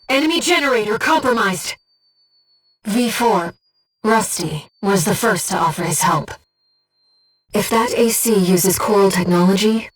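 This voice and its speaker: An actress playing a gentle, devoted female voice in a pilot's head, a composed and precise mission guide in a video game. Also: medium pitch, recorded from a distance, close to an everyday voice, rough recording. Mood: urgent. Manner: composed, precise, earnest delivery. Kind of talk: video game voice acting, tactical briefing.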